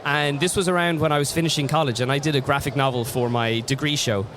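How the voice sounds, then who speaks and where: A man's voice: fairly high pitch, steady tone, fast talker, background noise. A man, a comic artist, chatting as an interview guest and telling reflective stories with frequent restarts. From Ireland. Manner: reflective storyteller, many restarts. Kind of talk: casual interview chat.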